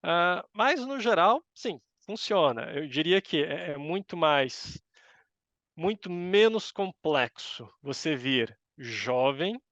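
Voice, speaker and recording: Middle aged brazilian guy answering interview questions, thinks as he goes, voice a bit high, dry lo-fi audio. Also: animated, takes it slowly, a bit bright, no room sound. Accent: Brazilian Portuguese. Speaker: interview guest, university professor. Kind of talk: conversational interview answers, reflective.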